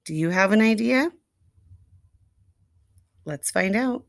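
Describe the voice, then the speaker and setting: A woman storyteller reading a picture book expressively to young children, deliberately, with asides and questions to the listeners. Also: medium pitch, expressive, gravelly, no echo, studio quality. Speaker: read-aloud storyteller.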